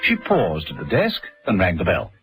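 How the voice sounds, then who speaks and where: An actor playing the narrator of a radio spy serial, reading scripted story links. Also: male voice, sits low, huge pitch swings, normal speed, fairly bright tone, soft background music, heavily acted. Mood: measured storytelling.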